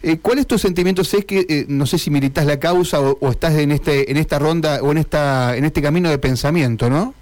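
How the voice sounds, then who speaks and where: A man's voice: a little high, fairly quick, few pauses, darkish tone. A man with an Argentine accent, a radio host interviewing a guest, often searching for words.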